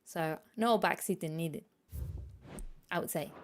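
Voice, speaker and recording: A woman's voice: on the low side, unhurried, a little hiss or hum. A woman from Spain with a Spanish accent, chatting playfully with viewers during a game stream from her room, laughing often. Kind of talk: casual gaming stream chat.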